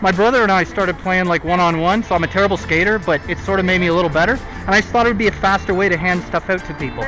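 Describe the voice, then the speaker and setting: High-pitched Canadian man chatting outdoors. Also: quick pace, rough recording. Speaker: vlogger. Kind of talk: casual chat with strangers. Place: outdoors on city streets and outside a stadium. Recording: outdoor street audio under music. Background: music, cars, street sounds.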